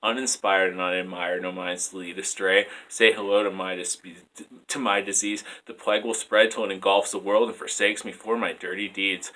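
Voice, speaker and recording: Low, bright-voiced man reading poetry. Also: level tone, moderate pace, poor audio. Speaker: poet. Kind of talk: spoken-word poetry reading. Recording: clear background noise.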